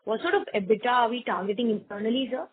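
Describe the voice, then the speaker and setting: A woman with an Indian accent and a husky voice asks questions as an analyst on an earnings call. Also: a little high, lively intonation, quick pace. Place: conference call. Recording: tinny phone-like conference line.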